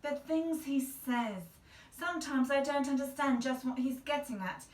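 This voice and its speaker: A prim, fretful storybook aunt put on by a woman, fussing in a raised pitch. The room around the voice is very echoey. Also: measured pace, a little mellow, far from the mic. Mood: complaining.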